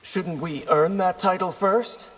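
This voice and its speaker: A man's voice: sits high, steady tone, takes it slowly, fairly bright tone, heavily acted. A man playing a friendly, competitive young warrior in a video game, light and confident. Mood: playful. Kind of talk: video game character acting.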